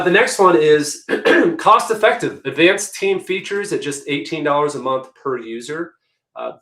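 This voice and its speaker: Male webinar presenter, hoarse, chatty and conversational, echoey room. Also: mid-range voice, fairly bright tone. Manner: chatty, conversational, many restarts. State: hoarse, losing his voice. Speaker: webinar presenter, executive assistant.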